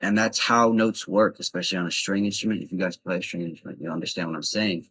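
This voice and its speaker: A man with a very low, husky voice talks to his viewers in a loose, chatty way. He gives blunt advice and addresses them directly, like a casual vlog tutorial. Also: steady tone, fast talker, fairly bright tone. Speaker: vlogger giving voice tips. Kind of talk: casual instructional talk to camera.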